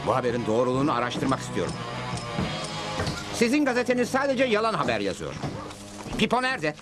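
Calm cowboy hero, terse, authoritative, very animated pitch, cartoon dub. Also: male voice, fast talker, music in the background. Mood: firm. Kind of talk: Turkish-dubbed cartoon dialogue.

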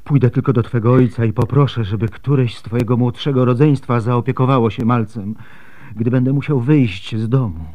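A man's voice: medium pitch, a little fast, dark tone, natural voice. An actor playing a devoted, anxious husband and father in a radio drama, earnest and emotional. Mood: tender, soothing. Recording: noisy radio play recording.

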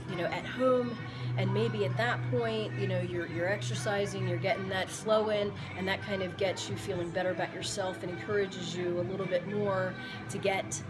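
A woman in her forties talks straight to the camera from her own gym floor, giving friendly advice that wanders and is full of fillers and restarts. Music plays clearly in the room behind her. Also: fairly low pitch. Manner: rambling, many fillers and restarts. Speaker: gym owner and fitness coach. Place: indoor gym among weight machines. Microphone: camera or phone at close range.

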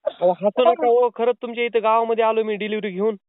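Fast, insistent man on a tinny phone line. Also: high-pitched, fairly even intonation, no room sound. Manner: insistent, pleading, playful. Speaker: prank caller posing as a delivery man. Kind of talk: prank phone call. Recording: tinny phone call recording.

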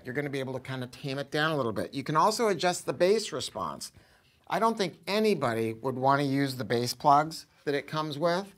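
A man's voice: fairly high pitch, a bit bright. An American man in his sixties giving an enthusiastic, informal product review to camera, with an emphatic salesman's delivery. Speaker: audio store owner presenting a product review. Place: showroom, indoors.

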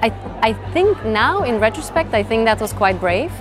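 A woman in her thirties from Bulgaria giving measured, reflective interview answers with some fillers and restarts. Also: a little high, big pitch swings. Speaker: interviewee, tech executive. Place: event interview set, indoors. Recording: music bed behind voice.

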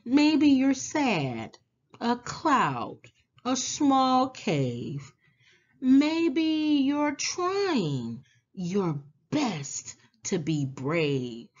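A woman reading a children's storybook aloud in a deliberate, animated manner, drawing out words and pausing. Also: on the high side, highs cut off. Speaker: children's book read-aloud host. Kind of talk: expressive storybook read-aloud for young children.

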